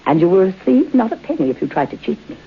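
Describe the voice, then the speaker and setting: Haunted-house matriarch, old and imperious, formal theatrical phrasing, very varied intonation, dull vintage radio recording. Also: female voice, medium pitch, big pitch swings, fast talker, breathy edge. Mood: stern. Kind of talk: old-time radio horror drama acting.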